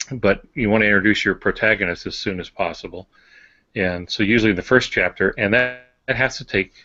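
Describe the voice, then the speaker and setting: Dull-sounding, low-voiced man, halting and explanatory. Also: a bit bright, no room sound. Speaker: fiction writer, podcast panelist. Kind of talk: casual talk on writing craft.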